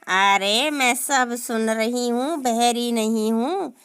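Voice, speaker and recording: A woman voicing a sharp-tongued old aunt who grumbles and scolds, slowly, in a comic sketch. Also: a little high, smooth, pitched below the performer's own voice. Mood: grumbling. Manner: complaining, slow and scolding.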